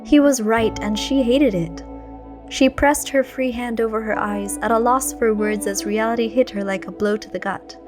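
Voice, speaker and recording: A woman reading a scripted story aloud in an expressive, literary way. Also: a little high, normal speed, music in the background. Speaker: fan fiction reader.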